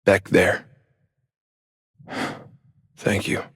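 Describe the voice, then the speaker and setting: An actor playing an earnest, grave young politician in a video game cutscene, with a husky, breathy, restrained, somber voice. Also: male voice, drawn-out words, a bit bright, dry recording, studio quality. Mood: somber, resolute.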